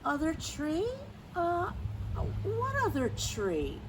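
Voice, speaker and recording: A woman's voice: high voice, wildly swooping pitch, takes it slowly, background noise, pitched above the performer's own voice, heavily acted. A woman voicing a guilty, anxious male picture-book character who is flustered, defensive and exclamatory in a children's read-aloud. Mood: feigned innocence. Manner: exclamatory, flustered, over-innocent.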